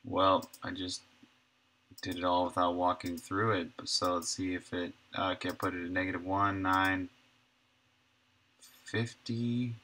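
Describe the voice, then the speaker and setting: A man's voice: sits low, level tone, a little slow, long pauses, bright, forceful tone. A man in his thirties livestreaming game development, casually thinking aloud in short, muttered thoughts as he works.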